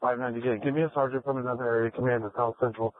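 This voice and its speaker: A man's voice: medium pitch, steady tone, racing. A male police officer speaking in procedural emergency radio traffic over a police radio. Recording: narrow police scanner radio, dry.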